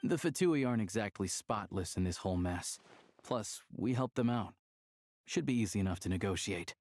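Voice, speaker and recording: A man's voice: lowish voice, animated, moderate pace, noisy background. An actor playing an easygoing, worldly young man in a video game, talking in a conversational, confiding way. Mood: reassuring. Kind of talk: video game dialogue acting.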